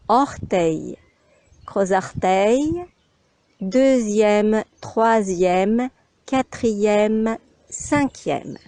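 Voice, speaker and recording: A woman leads a guided meditation as a yoga instructor, speaking extremely slowly in short instructions. Her voice is mellow and fairly high. The sound is very dry and close, with pauses between the phrases. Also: no room sound.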